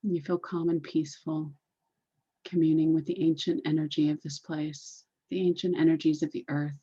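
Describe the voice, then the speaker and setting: A low-pitched woman guides a meditation with a very unhurried, soothing delivery. Her voice is dark and mellow, with soft, husky edges. She repeats phrases deliberately, and the recording is slightly dull. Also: little pitch movement.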